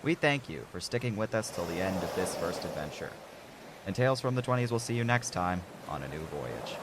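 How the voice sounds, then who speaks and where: A man's voice: sits low, sing-song, a little fast, noisy background. A man hosting an audio drama anthology with scripted, dramatic narration. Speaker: narrator, host.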